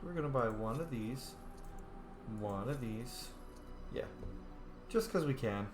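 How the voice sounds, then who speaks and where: A man's voice: medium pitch, animated, slow, over music. A young man playing a video game and commenting casually in a chatty, opinionated way, often talking over the game. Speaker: player and commentator. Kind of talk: casual let's play commentary. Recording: echoey room with faint noise.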